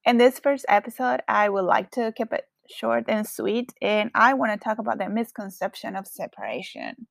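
A woman's voice: medium pitch, animated, normal speed, vocal fry, hardly any pauses, dry recording, studio quality. A woman from Colombia with a strong Colombian Spanish accent, hosting a solo podcast in a reflective, candid way. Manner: reflective, candid, with frequent fillers. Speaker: podcast host.

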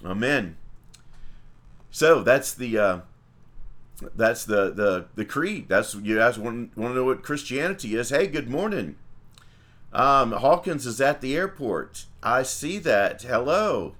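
A man's voice: mid-range voice, expressive, a little slow, pauses often, a bit bright. A man, a priest leading livestreamed morning prayer and chatting in a folksy way with viewers.

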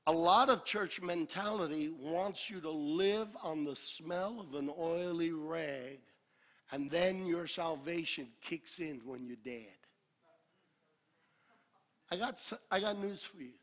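A male pastor preaching a sermon, building to shouted peaks and dropping into joking asides. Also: fairly high pitch, slow. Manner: builds to shouted climaxes, then drops to a jokey aside. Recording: long hall reverb.

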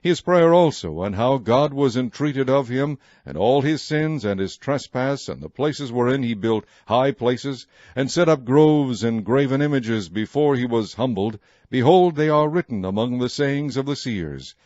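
A man reading scripture aloud in a measured, formal, solemn narration style. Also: mid-range voice, animated, a little mellow, no room sound, lo-fi sound. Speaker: Bible reader.

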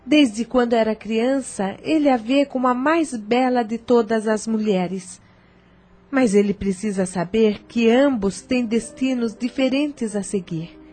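An actress playing a kind, motherly stepmother giving gentle advice in a radio drama. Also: fairly high pitch, unhurried, faint music behind. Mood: tender. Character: kind older stepmother, tender and wise.

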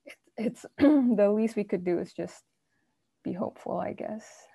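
A young woman, a university student, chatting casually with friends in a rambling way full of fillers, her voice croaky from the early morning. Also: medium pitch, unhurried, mellow, no echo. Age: young adult. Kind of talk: casual group chat.

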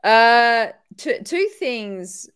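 A woman from Australia, a call-in guest on a podcast, chatting excitedly with lots of fillers. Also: fairly high pitch, very slow, clean tone, no room sound, studio quality. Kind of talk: casual podcast chat. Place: home.

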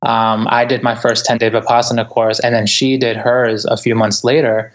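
Earnest, flat-voiced man from Ecuador. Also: mid-range voice, normal speed, highs cut off. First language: Spanish. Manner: earnest, thoughtful, many soft fillers. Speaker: guest author. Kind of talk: reflective interview conversation. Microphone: remote interview over the internet.

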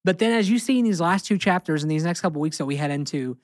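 A man's voice: on the high side, expressive, fast talker. An American man co-hosting a casual Bible discussion, speaking earnestly and emphatically, often repeating phrases for weight. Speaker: podcast co-host and Bible teacher.

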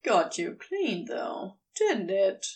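A woman's voice: medium pitch, sing-song, takes it slowly, recorded from a distance, voice pushed down. A woman voicing a cocky, teasing male demon lover in a casual, rambling way for an audio story.

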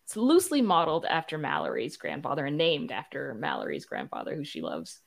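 A woman with a distinctly low voice talks as a guest on a casual interview podcast. She is candid and enthusiastic, speaking conversationally and effusively. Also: wildly swooping pitch, fairly quick, vocal fry. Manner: enthusiastic, effusive about colleagues. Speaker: podcast guest, writer and producer.

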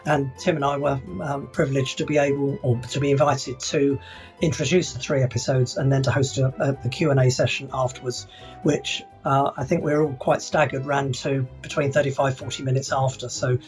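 A man's voice: medium pitch, flat delivery, a little fast, soft background music. A man from England co-hosting a podcast in casual chat, often restarting his sentences with fillers. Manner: hesitant, many restarts and fillers. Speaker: podcast co-host.

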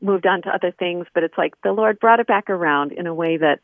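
A woman speaking as an interview guest over a phone, conversational and reflective, pausing as she gathers her thoughts. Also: on the low side, dry recording. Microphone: telephone call. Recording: narrow, tinny phone line.

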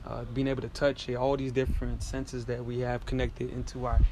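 A young man whose first language is French talks in a mid-pitched voice. He muses at length, looping back on his points and filling gaps with "you know". A faint hiss sits under the recording. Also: little pitch movement, a little fast, a little mellow. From France. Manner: reflective, rambling, repeats "you know". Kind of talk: casual conversation.

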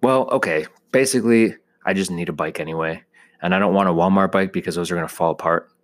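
A man's voice: low voice, fast. An American man in his thirties rambling casually in a joking, self-deprecating way, full of exaggeration. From United States. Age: 30s. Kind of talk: casual rambling solo monologue.

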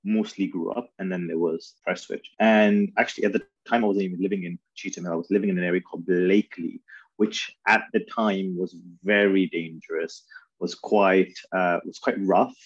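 Man from Pakistan, husky, dry close sound, muffled, thoughtful storytelling with restarts. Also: sits low, steady tone, moderate pace, no room sound. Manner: thoughtful, many fillers and restarts. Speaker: interview guest. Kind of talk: reflective conversational storytelling.

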